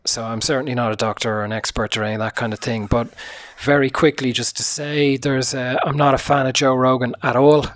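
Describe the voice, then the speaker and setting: A sick man hosting a casual solo podcast, speaking quietly with a hoarse, tired voice, swearing often and using many fillers. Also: medium pitch, fairly even intonation, fairly quick, hardly any pauses. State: sick, low energy, voice hoarse. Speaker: podcast host.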